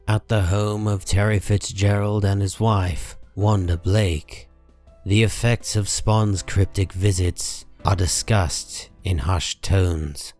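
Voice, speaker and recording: A man narrating a dark comic book drama in a measured, solemn, deliberate way. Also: sits low, steady tone, soft background music.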